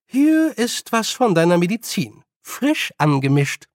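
A man voicing a brisk, bossy, good-humoured old woman witch with a loud, teasing and scolding manner, in an audiobook. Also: high voice, sing-song, no room sound, studio quality, pitched above the performer's own voice. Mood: practical. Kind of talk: audiobook character voice.

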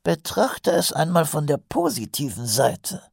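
A man voicing a shifty, cowardly little city watchman in an audiobook, with a raspy, croaky voice and a hesitant, wheedling manner. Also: a little high, big pitch swings, unhurried, dry recording, studio quality, voice pushed up, heavily acted. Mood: sly, needling. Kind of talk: audiobook character voice.

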